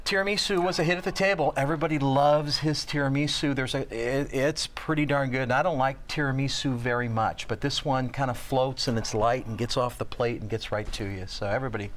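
A man's voice: medium pitch, fairly quick, hardly any pauses, a bit bright. A man talking as a guest reviewer, enthusiastic and nostalgic about a restaurant. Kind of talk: casual chat.

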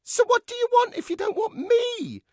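A man's voice: very high-pitched, sing-song, normal speed, dry recording, clean studio sound, pitched above the performer's own voice. A man voicing a boyish ventriloquist's dummy for an audiobook, an eager, indignant, clingy chatterbox who pleads with cheek. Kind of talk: audiobook character voice.

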